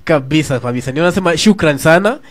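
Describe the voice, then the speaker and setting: A Kenyan man hosting a radio show, with a fairly high voice. He speaks in a relaxed, chatty way, asking long questions that mix English and Swahili slang. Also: very fast. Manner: relaxed, chatty, frequent "uh-huh" backchannels. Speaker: radio host. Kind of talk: casual radio interview.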